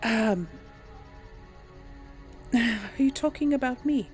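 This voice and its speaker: Woman acting a slow, hesitant noble daughter. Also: a little high, lively intonation, faint music behind. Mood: reluctant. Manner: hesitant, with sighs and drawn pauses. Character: young noblewoman daughter, hesitant but defiant. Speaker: gamer role-playing for an audience. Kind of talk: amateur role-play of game dialogue.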